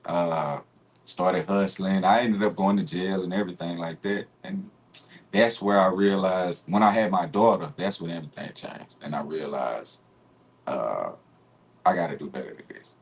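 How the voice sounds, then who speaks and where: A man in his forties from Arkansas with a Southern accent, a guest telling stories in a relaxed, easygoing way that breaks into laughter. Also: low voice, normal speed, breathy edge. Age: 40s. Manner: relaxed storytelling, laughs easily. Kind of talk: casual interview conversation.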